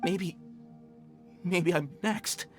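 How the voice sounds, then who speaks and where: A man's voice: sits high, very slow, faint music behind. A man playing an anxious, frightened mine worker in a video game, stammering and pleading as he talks. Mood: shaken, fearful.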